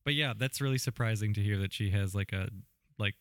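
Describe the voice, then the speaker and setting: A man's voice: fairly low pitch, brisk, no echo, clean studio sound. A man hosting a podcast, chatting casually about music and often rephrasing as he goes. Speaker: podcast host interviewing a guest.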